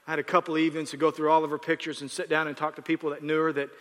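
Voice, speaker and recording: Male pastor, fairly high, bright, forceful voice; rambling conversational sermon with long hall reverb. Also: level tone, rapid-fire. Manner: rambling, self-correcting, laughs at times.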